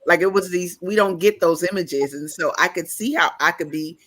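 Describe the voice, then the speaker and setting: A woman host talks over a video call. Her voice is bright and forceful. She rambles through long, lively questions, circling back on herself as she goes. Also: fairly low pitch, moderate pace. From New York. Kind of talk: casual interview question.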